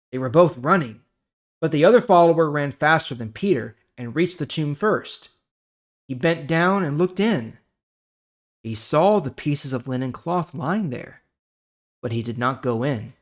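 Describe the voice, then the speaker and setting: A man hosting a Bible reading series, speaking conversationally with dramatic pauses and emphasis as he reads and comments. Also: on the high side. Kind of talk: casual devotional reading and commentary.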